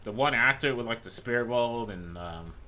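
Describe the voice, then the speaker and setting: Hoarse young-sounding streamer, bright voice. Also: male voice, medium pitch, animated, normal speed. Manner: rambling, swears freely, talks to himself. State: losing his voice. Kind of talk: casual gameplay chat.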